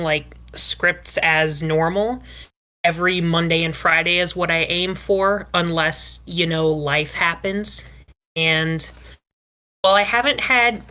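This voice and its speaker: Bright, lowish-voiced woman podcast guest. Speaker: podcast guest, script writer. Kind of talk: casual update in a panel chat.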